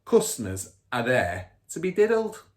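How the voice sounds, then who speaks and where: A man's voice: mid-range voice, huge pitch swings, unhurried, pitched above the performer's own voice. A man voicing a boastful, crooked middle-aged car dealer and rude father in a storybook, bragging and scornful with heavy emphasis. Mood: sly boasting.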